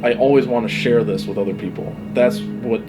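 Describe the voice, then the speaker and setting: A man's voice: medium pitch, a little fast, loud music behind the voice, rough recording. A young adult man talking casually and enthusiastically, like a pilot encouraging and instructing someone. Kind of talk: casual flight instruction and chat.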